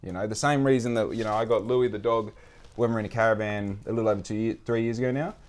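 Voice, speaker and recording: A man hosting a casual podcast, talking in an animated way, swearing freely and repeating phrases for emphasis. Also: fairly low pitch, quick pace. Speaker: podcast host.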